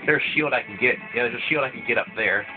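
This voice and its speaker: Male let's-player, rambling, a bit stuffy from a cold; mid-pitched, lo-fi tinny recording. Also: fast. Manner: rambling, with frequent restarts. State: voice thickened by a cold. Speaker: let's play gamer. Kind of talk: casual gameplay commentary. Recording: tinny, phone-like lo-fi mic. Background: video game music playing.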